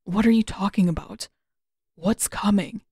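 A woman's voice: medium pitch, unhurried, darkish tone, slightly rough, no echo, studio quality. A woman voicing a worried, bewildered teenage prince with hesitant questions in an audiobook.

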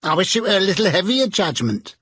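A man voicing a bold, defiant charwoman with a shrill, scornful manner. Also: big pitch swings, brisk, fairly bright tone, slightly rough, exaggerated voice. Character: bold defiant charwoman, brash older woman.

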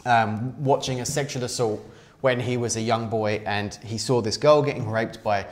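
A man's voice: medium pitch, steady tone, normal speed. A man in his thirties co-hosting a casual film discussion, rambling with many restarts. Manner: rambling, restarts often, gestures while talking. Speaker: co-host. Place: small studio with poster wall.

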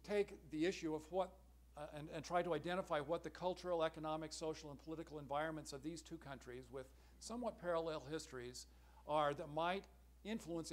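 Middle-aged man, conference lecturer at a podium mic, measured and earnest, fairly high voice. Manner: measured, earnest, clears throat now and then. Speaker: symposium speaker. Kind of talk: academic conference talk. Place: large lecture hall at a podium. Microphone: podium microphone, far camera.

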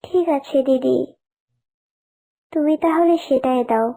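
Woman voicing a high, soft-toned fox wife. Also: takes it slowly, vocal fry, exaggerated voice. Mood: meek, asking. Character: needy, meek fox wife and mother. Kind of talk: cartoon dialogue.

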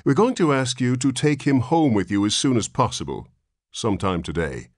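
A man's voice: medium pitch, lively intonation, moderate pace, darkish tone, dry recording, clean studio sound, pitched above the performer's own voice, natural voice. A man voicing a severe, prim woman nurse who gives curt orders. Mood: firm. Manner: stern, curt.

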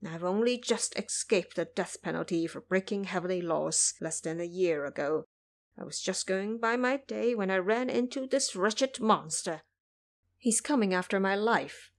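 A woman's voice: mid-range voice, animated, normal speed, lightly acted. Young dragon acted by a woman, proud, resentful, grumbling, defiant, audio drama. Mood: bitter grumbling.

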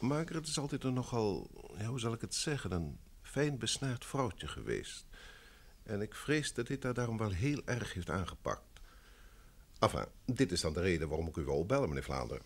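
A man's voice: on the low side, very animated, moderate pace, husky, exaggerated voice. An actor in an old Dutch radio play, playing a polite, wealthy businessman, earnest and troubled, with a formal, courteous manner. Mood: grave, troubled.

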